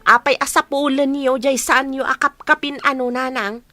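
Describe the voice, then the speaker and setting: Woman as a bright, high-voiced, bitter wife. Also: fairly even intonation, moderate pace, soft background music. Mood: bitter, defensive. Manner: scornful, sharp, complaining. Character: hard-hearted, bitter wife scorning her husband's illegitimate child.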